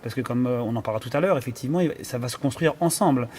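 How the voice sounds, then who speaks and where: Man, mid pitch, measured and reflective, frequent hesitations and restarts, faint room hum behind. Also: darkish tone. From Iran. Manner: thoughtful, many fillers and restarts, searching for words. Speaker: conductor and cellist. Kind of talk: interview answers.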